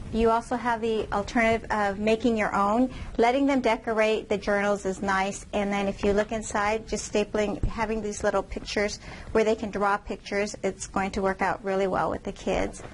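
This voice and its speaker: A woman professor giving a recorded lecture over slides, reading points aloud in a measured, explanatory way. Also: mid-range voice, a little hiss or hum, rough recording.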